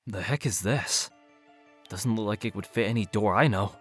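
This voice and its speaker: A man's voice: lowish voice, normal speed, fairly bright tone, soft background music, natural voice. A man playing an exasperated but caring teenage schoolboy in a horror game dub, reacting expressively. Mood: puzzled annoyance. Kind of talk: video game dub acting.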